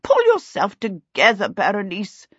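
A woman's voice: mid-range voice, huge pitch swings, unhurried, fairly bright tone, husky, no echo, highs cut off, full character voice. A woman voicing a stately, imperious aunt in a storybook, haughty, commanding and formal. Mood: brisk, dismissive.

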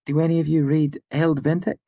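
A man voicing an excited, playful young woman scholar in an audiobook story. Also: on the high side, fairly quick, creaky, no echo, voice pushed up. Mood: mournful.

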